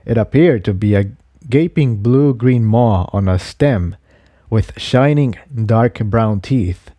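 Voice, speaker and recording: A man narrates an adventure story as a scripted reading. His voice is mellow and relaxed, and the recording is close and dry. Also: on the low side, slow, no room sound. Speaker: audiobook reader of fan fiction.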